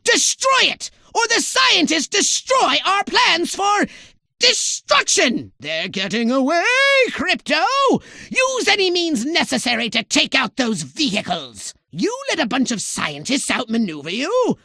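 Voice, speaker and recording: A very high, bright-voiced alien commander, scheming and imperious. His pitch swings wildly as he hands out pompous, sarcastic orders in theatrical video game style, recorded very dry. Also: wildly swooping pitch, unhurried, gravelly, no echo, heavily acted. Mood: agitated, scolding. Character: shrill, bossy, scheming alien commander giving mission orders.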